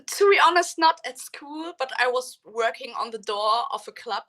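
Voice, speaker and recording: Bright, high-voiced woman. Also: expressive, normal speed. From Poland, grew up in Germany. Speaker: interview guest, professional fighter. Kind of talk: casual chat.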